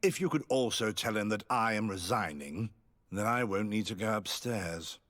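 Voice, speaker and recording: A man's voice: fairly low pitch, lively intonation, moderate pace, a bit bright, in a large hall. A man playing a weary, disgruntled alchemist in a video game, complaining with resignation. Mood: resigned, aggrieved. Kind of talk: video game dialogue acting.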